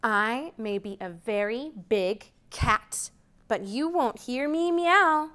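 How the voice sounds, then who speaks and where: A woman's voice: on the high side, lively intonation, slow pace, a bit bright. A woman in her thirties reading a picture book aloud to children in a library room, counting up with big rising calls. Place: library program room, indoors.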